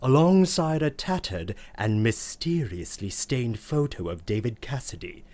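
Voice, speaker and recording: An actor playing a prissy, verbose uncle with a pompous, ornate manner in a comic radio play monologue. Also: male voice, medium pitch, very animated, measured pace, darkish tone. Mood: gossipy, disdainful. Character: prissy, verbose, scandalized uncle telling family tales.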